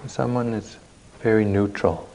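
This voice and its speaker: A man leading a guided meditation as a teacher, speaking in measured short phrases with long pauses, recorded live at a retreat. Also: lowish voice, steady tone, gravelly, mellow, slight background noise, rough recording.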